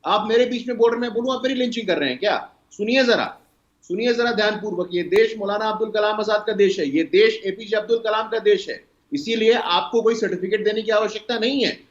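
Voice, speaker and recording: A man's voice: high voice, fairly quick. An Indian man speaking as a party spokesperson in a televised political debate, emphatic, lecturing and argumentative. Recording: roomy, dull lo-fi sound.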